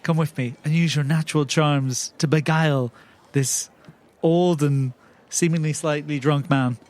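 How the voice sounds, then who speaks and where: A man with a noticeably high voice plays in a tabletop roleplay podcast. He improvises conversational, in-character dialogue. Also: steady tone, a little slow, darkish tone.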